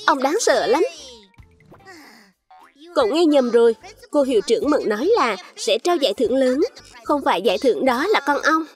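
Woman as a high-pitched, excitable little cartoon fruit. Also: normal speed, pauses often, soft background music. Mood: anxious. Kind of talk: cartoon dub.

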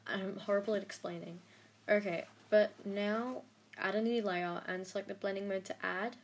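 A young woman talks through an art-app editing tutorial at her own pace. She hesitates, trails off and fills gaps with "um" and "like". Her voice sits at an ordinary middle pitch. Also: fairly even intonation, moderate pace. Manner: rambling, self-deprecating, hesitant with many fillers. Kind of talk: casual screen-recorded tutorial.

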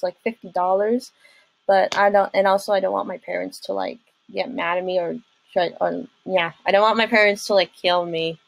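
A young adult woman giving casual reaction commentary as a video host, rambling informally and excitably. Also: medium pitch, gravelly, no echo, poor audio. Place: small room at home.

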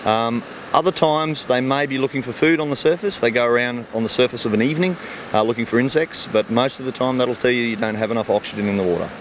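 A middle-aged Australian man explains his fish farm in a relaxed, plain way, talking at a brisk clip. He speaks into a handheld mic outdoors beside the ponds, with a faint hum of ambient noise behind him. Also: mid-range voice. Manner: easygoing, explains simply with everyday comparisons. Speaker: fish farmer. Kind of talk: outdoor interview answering kids' questions. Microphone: handheld mic held by interviewer.

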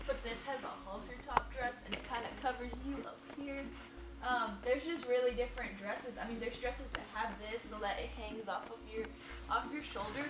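High-voiced young woman in echoey room. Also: fairly even intonation, normal speed, noisy background. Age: young adult. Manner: chatty, explanatory. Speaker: host, quinceañera choreographer. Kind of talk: casual instructional vlog chat. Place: large indoor dress boutique showroom, seated on a sofa. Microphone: camera mic a few metres away. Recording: roomy echo, camera mic at a distance.

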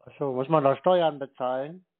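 A man's voice: medium pitch, normal speed. A man chatting casually on a call, laconic, playing along with naive questions. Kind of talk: casual phone chat. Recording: tinny narrow phone line.

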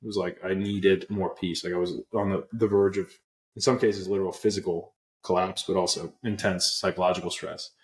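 Deep-voiced young man on a video call. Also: steady tone, fairly quick, reverberant. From Pennsylvania, USA. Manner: earnest, reflective, many fillers and restarts. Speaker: guest, app co-founder. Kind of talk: casual interview storytelling. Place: small room indoors. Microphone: earbud headset on video call.

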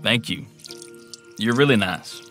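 Man acting a young soldier, forward bright voice, earnest, loyal, courteous, audio drama, faint music. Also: lowish voice, takes it slowly. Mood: tender, smitten.

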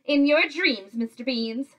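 A woman voicing a cheeky, boastful little boy kitten in a children's storybook, excitable and theatrical. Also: high voice, a little slow. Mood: defiant. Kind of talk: children's storybook read aloud.

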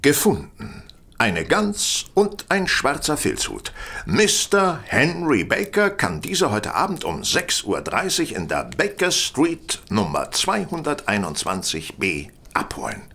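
An actor playing a brilliant, self-assured Victorian detective in an audio drama, reasoning in a confident, didactic manner. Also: male voice, medium pitch, huge pitch swings, slow pace, gravelly, bright, forceful tone, slightly rough, exaggerated voice. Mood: brisk, decisive. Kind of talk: German audio drama acting.

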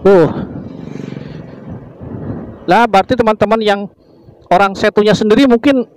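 A man's voice: sits high, a little slow, darkish tone, a little hiss or hum. A man from Indonesia narrating a motorbike ride through village roads in casual, chatty fashion, constantly addressing his viewers and wondering aloud about the way ahead. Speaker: motovlogger.

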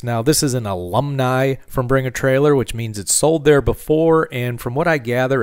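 A man hosting a car channel, talking casually over a market review with relaxed fillers. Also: mid-range voice, smooth, dry recording, clean studio sound. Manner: relaxed, conversational, frequent "uh" fillers.

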